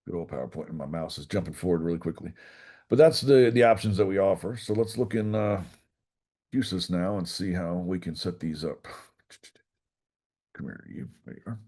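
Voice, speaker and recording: A man giving an informal technical class, walking through a software demonstration step by step in a folksy way. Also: deep voice, expressive, moderate pace. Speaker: class instructor.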